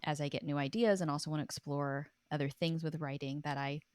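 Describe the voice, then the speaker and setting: A woman's voice: low voice, steady tone, brisk, a little mellow, clear, resonant tone, no room sound, clean studio sound. A woman in her thirties speaking earnestly and emphatically in a reflective, conversational interview as a guest. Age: 30s. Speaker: podcast guest, writer.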